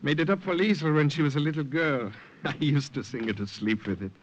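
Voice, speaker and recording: An actor playing a careful, sentimental secret agent in wartime Europe, in 1950s radio drama style. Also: male voice, fairly high pitch, a little fast, a little hiss or hum, close to an everyday voice. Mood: gentle, musing. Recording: dull, lo-fi vintage radio recording.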